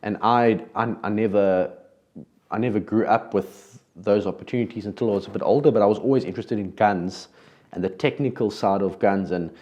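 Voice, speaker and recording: A man's voice: lowish voice, a little fast, a little mellow, lo-fi sound. A man from South Africa with a South African accent, hosting a podcast in a casual, conversational way with frequent restarts. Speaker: podcast host.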